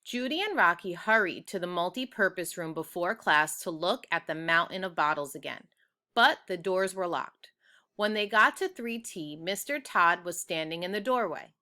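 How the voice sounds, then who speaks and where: Bright-voiced woman reading a storybook. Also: on the low side, expressive, moderate pace. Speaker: storybook reader. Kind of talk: children's book read aloud.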